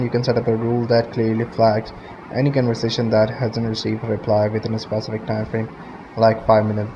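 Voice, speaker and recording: Man narrating a tutorial over muffled, noisy audio. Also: medium pitch. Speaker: tutorial host. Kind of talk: step-by-step software tutorial narration. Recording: muffled lo-fi audio with clear background noise.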